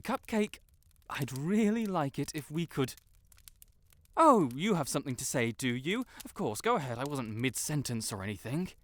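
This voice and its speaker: Man acting a proud, flustered wizard, swinging pitch. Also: big pitch swings, moderate pace. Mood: haughty, flustered. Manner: haughty, stammering, blurting and backtracking. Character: proud, flustered tsundere wizard secretly in love. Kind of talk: romantic audio roleplay acting.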